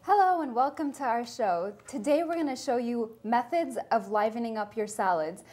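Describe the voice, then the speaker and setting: A woman's voice: on the high side, normal speed, darkish tone. A young woman from California, a dietetics student, upbeat and talking straight to camera as she presents a cooking segment in a studio kitchen. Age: young adult.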